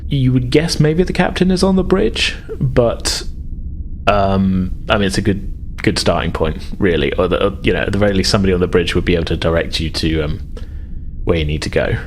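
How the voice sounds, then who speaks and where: A man's voice: low-pitched, expressive, fairly quick, a little hiss or hum. A man narrating casually as game master in a roleplaying game, improvising and hedging as he goes. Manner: casual, hesitant hedging with "sort of" and "like".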